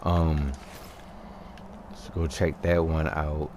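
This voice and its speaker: A man giving casual, halting gameplay commentary, thinking aloud as he plays. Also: a bass voice, flat delivery, a little mellow. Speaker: gaming video host. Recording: clear background game noise. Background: video game ambience.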